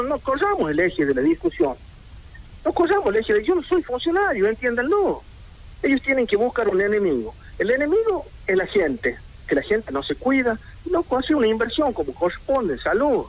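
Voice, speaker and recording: Man, Argentine accent, middle-aged, high voice with lively pitch swings, indignant, dull lo-fi radio sound. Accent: Argentine Spanish. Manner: indignant, rapid-fire rhetorical questions. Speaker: merchant speaking to local radio. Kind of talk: impassioned protest statement. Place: indoors, seated in red armchair. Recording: lo-fi radio broadcast audio.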